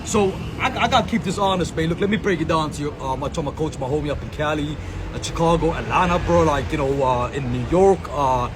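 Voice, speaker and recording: Man, fairly high voice, rambling slangy street vlog, lots of fillers, loud traffic noise behind. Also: brisk. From Sri Lanka. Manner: meandering slang-filled talk with many fillers and repeated catchphrases. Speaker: content creator. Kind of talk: rambling self-filmed monologue. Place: outdoors in a public space.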